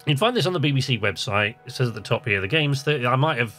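A man's voice: medium pitch, lively intonation, racing, fairly bright tone, faint music behind. A man giving casual let's-play commentary, chatty and hesitant as he thinks aloud and reads game text. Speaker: host of a video game channel.

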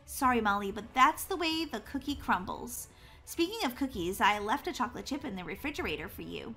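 A woman voicing a calm, gently firm mother in an acted children's family story, speaking in a measured way. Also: a little high, soft background music, exaggerated voice.

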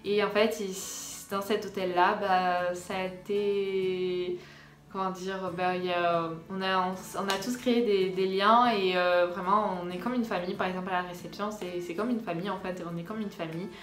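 A young woman tells her story casually to her followers. Her mid-pitched voice sounds in an echoey room, with faint music underneath. She often hesitates and doubles words to stress them. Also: level tone, moderate pace. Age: young adult. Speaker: vlogger. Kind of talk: casual storytime chat to viewers.

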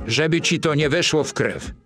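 A man's voice: fairly high pitch, expressive, normal speed, fairly bright tone, soft background music. A man voicing a resigned, sceptical handyman sidekick in a comic cartoon, grumbling in dry, colloquial speech. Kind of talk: dubbed comic cartoon dialogue in Polish.

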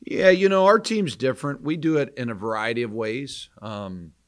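An American man in his forties, a college basketball coach, answering press questions in a measured, candid way with pauses to think. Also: medium pitch. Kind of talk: postgame press conference answers. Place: indoor press conference room, seated at table. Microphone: microphone on table stand.

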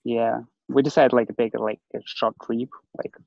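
A man with a Russian accent talks casually on a video call, his words loose and full of "like". His voice is heavily gravelly. The sound is thin and phone-like, with a dry, close feel. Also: mid-range voice, fairly even intonation, normal speed, no room sound. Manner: loose, filler-heavy speech with many "like"s. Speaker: panelist photographer. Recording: tinny video call audio.

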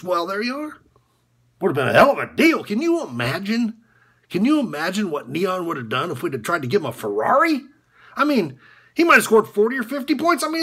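A man performing a dramatic monologue as a bitter, disillusioned basketball coach, speaking in a halting, repetitive, sardonic way with a husky edge. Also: fairly high pitch, pushed and bright, heavily acted. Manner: halting, repetitive, sardonic, swinging from muttering to outbursts. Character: disillusioned, bitter male college basketball coach confessing corruption. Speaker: actor performing a film monologue.

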